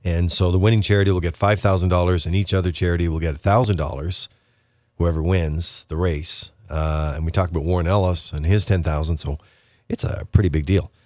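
A man's voice: low-pitched, steady tone, a little fast, darkish tone, dry recording. A man from Prince Edward Island, a racetrack representative, talking casually as a radio guest with lots of fillers and restarts. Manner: rambling, many fillers and restarts.

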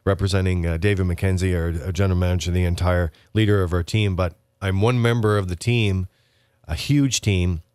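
A man's voice: deep voice, fairly even intonation, fairly quick, darkish tone, smooth, no room sound, clean studio sound. A man from Prince Edward Island, a racetrack representative, talking casually as a radio guest with lots of fillers and restarts. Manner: rambling, many fillers and restarts.

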